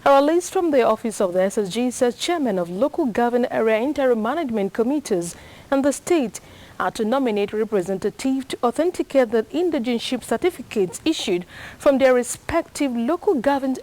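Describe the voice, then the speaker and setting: A woman's voice: on the high side, a little mellow, poor audio. A woman with a Nigerian accent reading formal scripted television news as a presenter.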